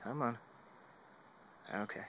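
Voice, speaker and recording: A man giving a casual, unscripted hobbyist walkthrough on a phone, halting as he thinks aloud. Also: sharp tone, slight background noise. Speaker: hobbyist demonstrating a home radio antenna setup. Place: at home, moving between indoors and outside. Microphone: handheld phone while filming.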